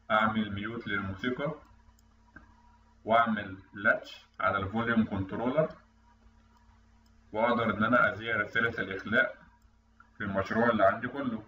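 A man's voice: lowish voice, bright, forceful tone. A man with an Egyptian accent explaining technical material step by step as an online instructor. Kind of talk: tutorial explanation over screen recording. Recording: roomy, distant mic, dull, faint noise.

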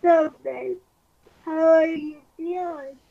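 A woman from the United States in an intimate couple roleplay, cooing in a drawn-out, babyish way on a lo-fi recording. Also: very high-pitched, fairly even intonation, vocal fry. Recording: muffled playback of a lo-fi video.

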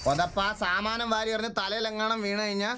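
A man with a Kerala Malayalam accent giving excitable live gaming commentary to his teammates, repeating short phrases as he goes. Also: sits high, a little fast. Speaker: livestream gamer, host. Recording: noisy gaming livestream voice chat.

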